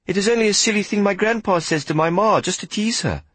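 A man's voice: high voice, fast, fairly bright tone, husky, dry recording, voice pushed up. A man reading an audiobook, voicing a polite, earnest young boy who apologizes and speaks formally.

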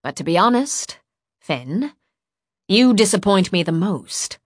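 A woman's voice: lowish voice, fairly quick, husky, no echo, studio quality. Woman playing a severe, imperious scolding matriarch. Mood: disappointed. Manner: severe, clipped, commanding. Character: stern, furious older woman of authority, imperious and scolding. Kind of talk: audiobook character dialogue.

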